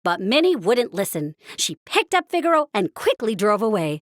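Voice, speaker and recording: A woman narrating a scripted children's story in an expressive, carefully spoken storytelling manner. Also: mid-range voice, moderate pace, no room sound, studio quality.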